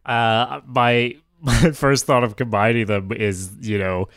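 A man's voice: mid-range voice, slow pace, smooth, no echo. A man whose first language is Swedish, musing through a casual story brainstorm with many fillers. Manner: relaxed, musing, hesitant with fillers. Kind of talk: casual creative brainstorming chat.

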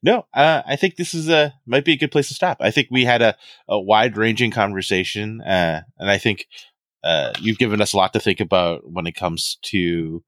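A man's voice: on the low side, moderate pace, fairly bright tone, no room sound, studio quality. A man hosting a podcast, wrapping up the interview and reading the closing credits. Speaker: podcast host. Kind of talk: interview wrap-up and scripted outro.

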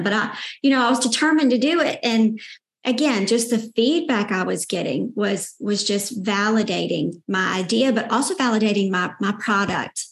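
A woman's voice: medium pitch, reverberant. A woman with a Southern accent and a sweet-toned voice, telling her story as a guest in a casual interview, candid and determined. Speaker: guest, founder and CEO.

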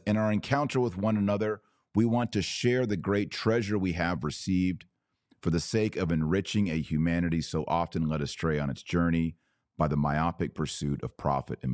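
Male podcast host for opinionated religious commentary: slow, deliberate, punchy phrasing, emphatic and dogmatic, in a dry studio-like sound. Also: lowish voice, a little mellow, no room sound.

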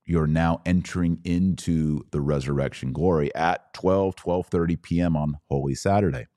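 A man's voice: sits low, moderate pace, warm tone, no room sound, clean studio sound. A man hosting a podcast, explaining religious practice in an informal, enthusiastic way and often answering his own questions. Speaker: podcast host.

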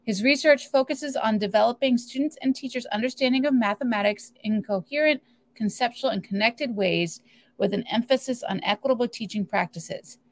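A woman hosts an online meeting, welcoming everyone warmly before she reads the announcements and the speaker's biography at a steady pace. Her voice sits a little above average in pitch. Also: moderate pace. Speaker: webinar host. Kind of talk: reading an introduction.

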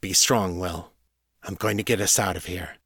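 A man plays a protective young hero in an audiobook, speaking low in a hushed, earnest and reassuring way. The sound is dry and intimate. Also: fairly even intonation, moderate pace, slightly rough, no echo. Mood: gentle resolve.

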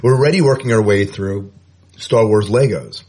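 A man's voice: fairly low pitch, moderate pace, darkish tone, husky. A man co-hosting a podcast in casual chat, often repeating phrases for emphasis. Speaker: podcast co-host.

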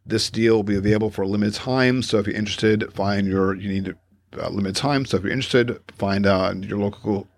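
A man's voice: fairly low pitch, steady tone, fairly quick, no room sound. A man hosting a casual podcast, rambling with many fillers and self-corrections and laughing often as he talks. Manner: laughs often while telling stories. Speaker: podcast host.

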